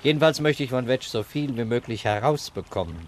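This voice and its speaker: An actor playing a dry, sceptical police inspector in a 1960s German radio crime drama, curt and matter-of-fact. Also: male voice, medium pitch, fairly quick, natural voice. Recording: 1960s radio recording, clearly noisy.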